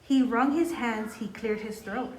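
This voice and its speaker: A woman's voice: on the high side, a little slow, a little hiss or hum. A woman reading a children's story aloud in an echoey room. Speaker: school staff member reading aloud.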